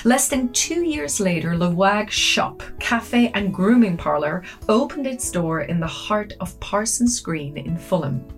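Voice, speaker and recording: An enthusiastic woman host chats with her guest in an easygoing interview. Her pitch sits mid-range for a woman. Soft music plays faintly underneath. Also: lively intonation, unhurried, few pauses. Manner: enthusiastic, chatty, with frequent restarts. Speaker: podcast host and dog yoga teacher. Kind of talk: casual interview chat.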